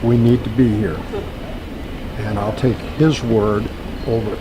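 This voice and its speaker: Plain-spoken man with clear background noise. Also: fairly low pitch, fairly even intonation, unhurried. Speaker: interviewee, bereaved parent. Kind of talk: plain-spoken interview answers.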